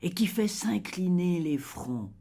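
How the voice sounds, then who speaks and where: Very slow, solemn poetry reader. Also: mid-range voice, lively intonation, vocal fry, mellow. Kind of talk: slow dramatic poetry recitation.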